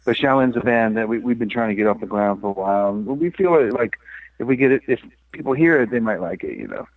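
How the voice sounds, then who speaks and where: A man guests on a casual interview show. He sounds easygoing and joking, and he pauses often on "um" and "you know." The sound is dry and close, with no room ring. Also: fairly low pitch, lively intonation, no echo, narrow, tinny audio. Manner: laid-back, joking, hesitant with fillers. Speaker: guest musician.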